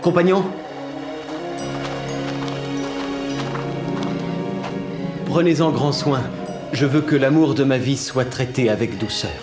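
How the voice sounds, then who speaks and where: An actor playing a young, noble, lovestruck prince in a cartoon, earnest and declamatory. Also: male voice, medium pitch, normal speed, over loud music, lightly acted. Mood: grateful, resolute. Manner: declamatory, pleading.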